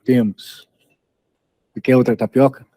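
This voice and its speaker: A man's voice: medium pitch, steady tone, measured pace, dark tone, no echo, close to an everyday voice. An actor playing an earnest, devoted, optimistic man talking to his partner in a naturalistic audio drama. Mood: thoughtful.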